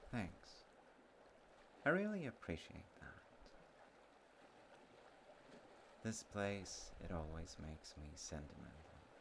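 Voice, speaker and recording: A man playing a sweet, slightly slow, sentimental childhood friend in an intimate audio roleplay, speaking gently and haltingly, with trailing pauses. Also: deep voice, creaky, a little hiss or hum, heavily acted. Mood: wistful, tender.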